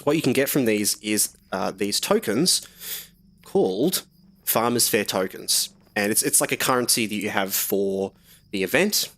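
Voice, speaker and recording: A man's voice: on the low side, unhurried. A young man casually talking through a game on a live stream, rambling with lots of fillers while slightly sick and sniffly. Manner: relaxed, rambling, many fillers.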